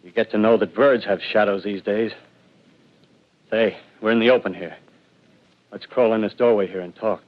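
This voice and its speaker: An actor playing a suspicious, territorial survivor armed with a knife, speaking bluntly in a 1930s radio drama style on a dull lo-fi broadcast. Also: male voice, lowish voice, fairly even intonation, normal speed, long pauses, close to an everyday voice.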